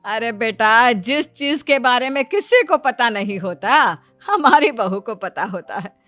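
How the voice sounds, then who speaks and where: Casting: actress as middle-aged mother-in-law, proud and questioning, highish voice with animated swings, comedic story. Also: measured pace, dry recording, faint music behind. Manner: expressive, questioning. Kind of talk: comedic Hindi story dubbing.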